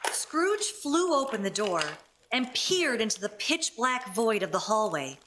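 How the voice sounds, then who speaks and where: A woman's voice: on the high side, lively intonation, measured pace, noisy background. A woman narrating a radio play as a dramatic storyteller.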